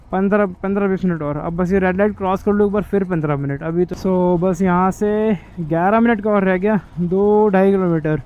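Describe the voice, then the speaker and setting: A man's voice: high-pitched, smooth, lo-fi sound. A man, chatting casually and informally to viewers as a motovlogger, often grumbling like he would to buddies. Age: 20s. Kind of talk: casual chat to camera. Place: on a motorbike in city streets, later inside a small helmet shop. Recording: noisy street and traffic sound. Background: traffic and vehicle noise.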